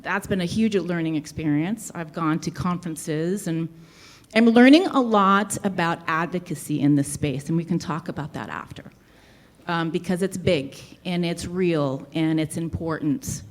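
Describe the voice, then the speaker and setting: A woman's voice: fairly low pitch, moderate pace. A woman with a light Boston accent hosting a live podcast show in a brewery, talking in a chatty, easygoing way. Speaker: podcast host.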